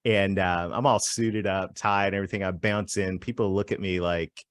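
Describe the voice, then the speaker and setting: Canadian guy on a podcast, lowish voice, upbeat storyteller, dry close mic. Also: fairly even intonation, moderate pace, no room sound. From southwestern Ontario, Canada. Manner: upbeat storyteller who laughs often. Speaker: guest, growth strategist. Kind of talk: casual podcast interview.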